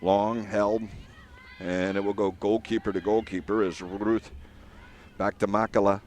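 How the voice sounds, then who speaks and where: A man giving live play-by-play commentary on a soccer match, steady and filler-heavy with frequent pauses. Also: on the low side.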